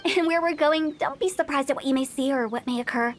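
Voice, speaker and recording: A woman's voice: sits high, fast talker, slight background noise, natural voice. A young woman voicing a playful, mischievous female funeral director in a video game, teasing and mock-spooky.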